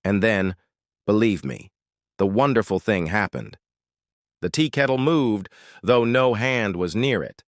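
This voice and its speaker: A man narrating a folktale as a storyteller, expressive and dramatic, with deliberate emphasis. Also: lowish voice, no echo, studio quality.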